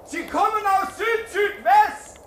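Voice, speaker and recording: Yearning, impatient very young man: emotional radio play, extremely high voice, slow delivery. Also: a little hiss or hum, heavily acted. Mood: eager. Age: young adult. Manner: excitable, plaintive. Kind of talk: theatrical German radio play acting.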